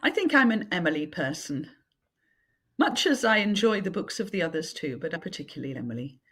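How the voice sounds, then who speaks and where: A low-voiced Englishwoman with a husky, breathy edge. Her pitch swings widely as she chats eagerly about books and gardens. She speaks over a video call. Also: sing-song, fairly quick, fairly bright tone. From England, Yorkshire. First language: French. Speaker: author guest. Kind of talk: casual interview chat. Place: home in Yorkshire, remote call.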